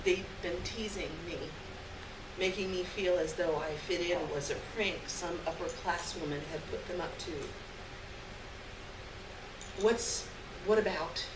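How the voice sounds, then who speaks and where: A woman giving an expressive live reading of a short story on stage. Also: fairly low pitch, moderate pace. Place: indoor stage reading before a live audience. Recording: echoey, noisy live room, distant mic.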